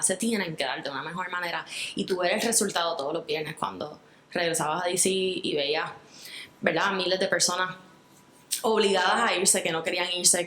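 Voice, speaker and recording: A woman's voice: on the low side, fairly bright tone, echoey room, slight background noise. A Puerto Rican woman speaking earnestly in an interview, reflecting at length with fillers and restarts. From Puerto Rico. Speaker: guest. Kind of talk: interview conversation.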